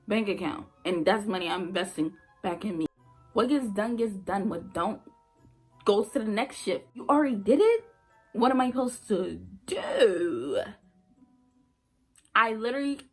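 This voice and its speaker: A woman's voice: a little high, slightly rough. A young woman, a former nursing assistant, telling her story in a casual vlog, blunt and emphatic, repeating her points for effect.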